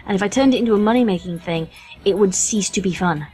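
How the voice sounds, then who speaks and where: A British woman talks as a guest on a casual podcast, with a medium-pitched voice. Her manner is wry and self-deprecating, with everyday slang. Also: quick pace, a little mellow, low-quality recording. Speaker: podcast guest, voice actress and fan fiction writer.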